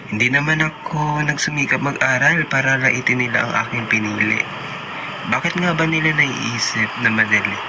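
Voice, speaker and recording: A man's voice: mid-range voice, normal speed, noisy background, close to an everyday voice. A young Filipino man playing an earnest college student who narrates an amateur motion comic. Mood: reflective. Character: earnest male college student dreaming of fashion design. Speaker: narrator and lead character. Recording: loud music over the voice.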